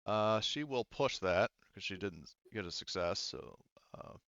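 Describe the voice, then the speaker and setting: A man's voice: lowish voice, expressive, a little slow, a bit bright, highs cut off. A man acting as game master, casually thinking aloud as he narrates dice rolls and rulings.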